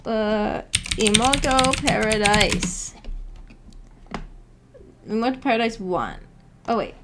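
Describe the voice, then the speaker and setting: A woman's voice: on the high side, slow, background noise. A woman hosting a casual livestream, reading out and answering viewer comments in a giggly, hesitant, self-effacing way. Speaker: livestream host.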